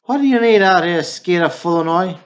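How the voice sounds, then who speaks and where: A man's voice: high-pitched, expressive, fairly quick. An actor playing a belligerent small-town tough guy who needles people, in an amateur radio play. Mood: taunting. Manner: taunting, needling. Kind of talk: amateur radio drama acting. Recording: dull lo-fi radio drama recording.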